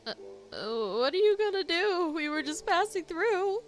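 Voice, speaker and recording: A woman streamer giving casual, excitable reaction commentary, talking back to the game she is playing. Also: extremely high pitch, moderate pace. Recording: game music behind the voice.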